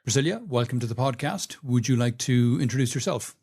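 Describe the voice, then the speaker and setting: A man's voice: mid-range voice, brisk, mellow, husky. A man hosting a podcast, introducing his guest and asking questions in a friendly way. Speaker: podcast host. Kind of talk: podcast interview.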